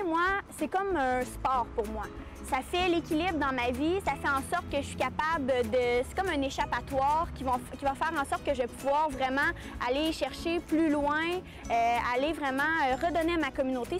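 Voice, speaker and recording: A woman in her early twenties with a Québécois accent, speaking eagerly and emphatically as a municipal councillor, with many fillers. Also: high voice. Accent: Québécois French. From Quebec. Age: 20s. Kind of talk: prepared testimonial.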